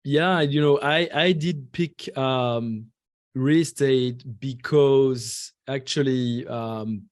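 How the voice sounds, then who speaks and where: A man in his forties from France with French as his first language, a podcast guest who talks haltingly with many fillers and restarts. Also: medium pitch, dry recording, clean studio sound. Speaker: guest investor. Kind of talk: podcast interview.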